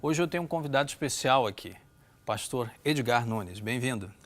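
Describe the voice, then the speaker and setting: A man with a Brazilian Portuguese accent hosting a Bible discussion in a measured, didactic way, often seeking agreement. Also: medium pitch, animated, faint music behind. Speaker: host of a religious programme.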